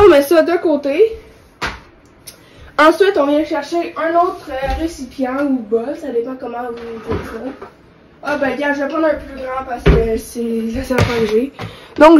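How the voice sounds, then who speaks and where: Young woman, Quebec French accent, high-pitched, congested from a cold, casual hesitant cooking vlogger, noisy home kitchen recording. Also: measured pace. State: has a cold. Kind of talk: casual cooking tutorial to camera. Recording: clear background noise.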